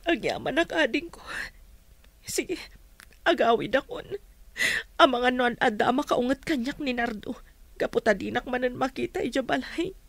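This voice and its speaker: An actress playing a long-suffering, pleading wife and older sister in a radio drama. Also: female voice, high voice, big pitch swings, moderate pace, no echo, heavily acted. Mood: sad, apologetic.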